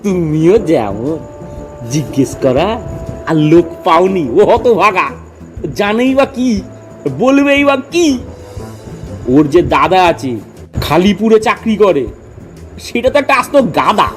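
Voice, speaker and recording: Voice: medium pitch, big pitch swings, measured pace, long pauses, soft background music. A performer in a comic audio drama playing cantankerous, boastful village men who quibble pedantically and argue emphatically. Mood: scornful, bragging. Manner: argumentative, emphatic, rambling lists.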